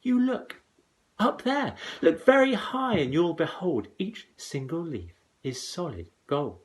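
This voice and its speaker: An eager, cheeky young boy from a storybook, acted out by a man. The voice is pitched high and jumps about excitedly. He exclaims his lines in breathy, boyish bursts. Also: takes it slowly. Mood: insistent.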